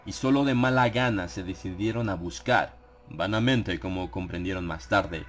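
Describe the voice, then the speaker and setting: A man narrating a dramatized horror audiobook in a solemn, measured manner with suspenseful pauses. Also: deep voice. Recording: clear ambient sound effects behind the voice. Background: atmospheric horror sound effects.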